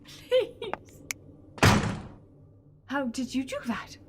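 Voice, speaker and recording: A woman's voice: sits high, very animated, extremely slow, heavy background noise, heavily acted. A woman acting as a desperate, bitter renegade villainess in an audio drama, with a melodramatic delivery. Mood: desperate pleading. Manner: melodramatic, pleading.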